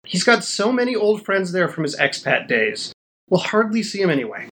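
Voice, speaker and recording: A man's voice: high voice, sing-song, moderate pace, fairly bright tone. A man acting in a modern audio drama as an eager, teasing, devoted young man, boyish and coaxing. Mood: eager, persuasive.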